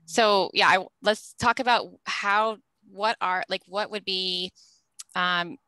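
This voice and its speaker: A woman who works as a speech-language pathologist, talking in a halting way with many restarts as she puts questions and thoughts to her co-host. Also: mid-range voice, fairly even intonation, sharp tone, clear, resonant tone, no room sound. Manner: halting, with frequent restarts and filler. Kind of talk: casual professional conversation.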